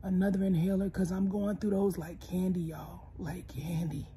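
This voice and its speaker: A young woman lying in bed and talking casually to her phone camera, congested and hoarse, tired and soft-spoken, sometimes dropping to a whisper. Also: on the low side, little pitch movement, moderate pace, a little hiss or hum. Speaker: vlogger. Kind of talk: casual personal vlog. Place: bedroom, lying in bed indoors. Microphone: phone camera close, held low.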